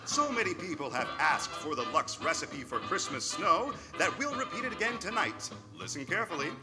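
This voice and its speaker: Male announcer: earnest vintage radio host, very expressive, music behind. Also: on the high side, a little fast. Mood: cheerful salesmanship. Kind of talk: 1940s radio announcing, scripted.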